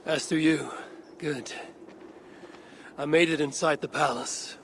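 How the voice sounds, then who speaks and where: An actor playing a stoic, resolute Viking warrior in a video game, with a gravelly voice and a grave, terse manner. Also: male voice, a little high, fairly even intonation, slow pace, slight background noise. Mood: guarded. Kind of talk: dramatic cinematic game acting.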